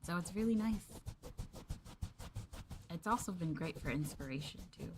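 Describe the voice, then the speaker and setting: A young American woman streaming in a soft-spoken ASMR maid roleplay, calling her listeners master and speaking of herself as the maid. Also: on the low side, expressive, normal speed, slight background noise. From United States. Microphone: close ASMR microphone.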